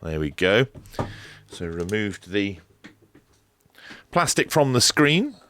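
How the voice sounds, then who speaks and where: A man's voice: on the low side, very animated, slow, a bit bright, a little hiss or hum. A man giving a casual, unscripted unboxing review, explaining things as he goes in a hesitant way. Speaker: radio gadget reviewer.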